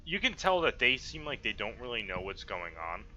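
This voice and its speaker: A man running an online tabletop game as game master, explaining and narrating informally in halting phrases. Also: lowish voice, very animated, sharp tone. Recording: dull lo-fi mic with faint noise.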